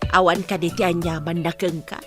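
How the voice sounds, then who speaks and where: A woman with an Ilocano accent acting a worried, soft-hearted housemaid mother in a radio drama. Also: lowish voice, level tone, brisk, soft background music, lightly acted. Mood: troubled.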